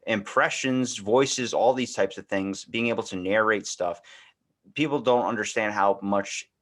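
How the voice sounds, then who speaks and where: A man's voice: fairly low pitch, a little slow, a bit bright. An American man hosting a podcast, talking in a casual, rambling conversational way. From United States. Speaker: podcast host.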